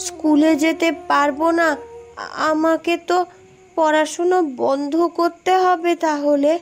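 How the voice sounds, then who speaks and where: Woman playing a high-voiced, sad young village girl. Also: fairly even intonation, unhurried. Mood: sad, confused. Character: poor young village daughter, sad and earnest. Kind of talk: cartoon dialogue, melodramatic. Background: background music.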